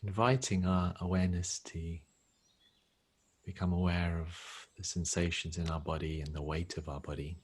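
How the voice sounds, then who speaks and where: A man leading a guided meditation as a teacher, speaking gently and without hurry, leaving long pauses. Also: sits low, fairly even intonation, husky.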